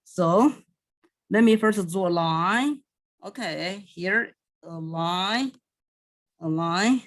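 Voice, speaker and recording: A woman from southwest China with a Chinese accent, teaching math in a recorded lecture and often pausing to correct herself. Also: fairly low pitch. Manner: slow, halting, thinking aloud, self-correcting. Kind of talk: recorded lecture over a digital whiteboard.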